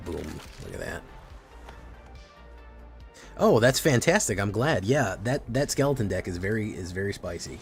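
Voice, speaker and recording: Brief: young adult man, deep voice, easygoing streamer banter over faint background music. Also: normal speed, gravelly, long pauses. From Chile. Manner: relaxed, chatty, reacting to chat. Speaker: streamer playing a card game. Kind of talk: casual live-stream commentary.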